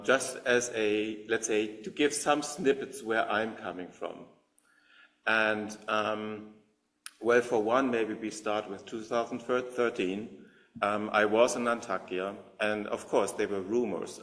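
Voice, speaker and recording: Moderator: man, low voice, loose and rambling, heavy on "um". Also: unhurried, a bit bright. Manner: rambling, many fillers, admiring. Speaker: meeting organizer and moderator. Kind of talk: conversational remarks to an audience.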